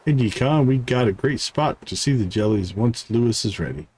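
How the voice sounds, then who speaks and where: A man's voice: mid-range voice, moderate pace, darkish tone, slight background noise. A man livestreaming a game, reading character dialogue aloud with stumbles and short asides. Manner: reads on-screen text aloud with stumbles and asides. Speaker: game streamer.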